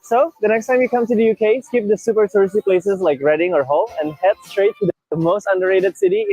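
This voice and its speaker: A man from Canada voices a mock travel video in a sarcastic tone. His voice sits high and sounds husky. Faint music plays behind him. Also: fairly quick, mellow, low-quality recording. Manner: sarcastic, mock-tourism delivery. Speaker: video narrator. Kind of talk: comedic scripted travel parody.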